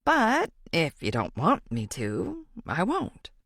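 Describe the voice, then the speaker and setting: A woman's voice: lowish voice, wildly swooping pitch, takes it slowly, creaky, fairly bright tone, husky, dry recording, studio quality, heavily acted. A woman voicing a charming, easygoing young man with a playful, teasing manner in an audiobook. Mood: friendly. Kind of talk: audiobook character dialogue.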